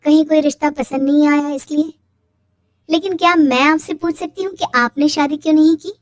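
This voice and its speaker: A woman's voice: high-pitched, a little fast, no echo, studio quality, lightly acted. A woman playing a young unmarried schoolteacher daughter, defensive but firm, in a cartoon drama. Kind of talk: Hindi cartoon dialogue.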